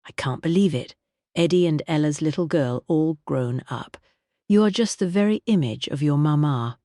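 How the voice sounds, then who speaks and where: A narrator voicing a tall, glamorous American woman entertainer with a soft, smooth American accent, affectionate and motherly. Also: low voice, animated, moderate pace, no room sound, studio quality. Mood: warm, delighted. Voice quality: smooth, honeyed drawl. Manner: affectionate, calls everyone honey.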